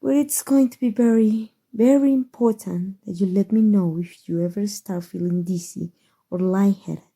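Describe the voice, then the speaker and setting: A woman with a Mexican accent voicing an elegant, centuries-old female vampire in an ASMR roleplay, doting and softly formal, with a breathy voice. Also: mid-range voice. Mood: tender, gracious. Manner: soft, tender, formal and unhurried endearments.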